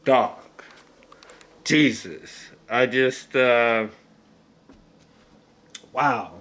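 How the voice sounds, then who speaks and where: A man with an average-pitched voice talks through a casual film review. He rambles and hunts for words, often repeating a phrase. His wording is slangy and profane, with a fan's emphatic way of driving points home. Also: a bit bright. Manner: halting, searching for words, repeats himself; emphatic, fannish.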